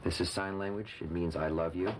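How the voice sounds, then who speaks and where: Low-voiced man interpreting for his father. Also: steady tone, brisk, very noisy. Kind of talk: interpreting in conversation.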